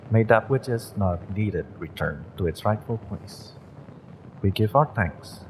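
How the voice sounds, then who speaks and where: Guy acting as a polite soft spoken male witch tarot reader, real deep and slow, roleplay audio. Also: animated, slight background noise, poor audio. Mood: solemn, reverent. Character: gentle, courteous male witch, magick shop owner and tarot reader. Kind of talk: roleplay audio, acted monologue to the listener.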